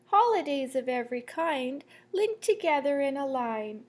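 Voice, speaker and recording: A woman reading a children's picture book aloud like a teacher, in a measured, sing-song storytelling way. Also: high-pitched, very animated, a little mellow. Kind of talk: children's read-aloud storytelling with asides to listeners.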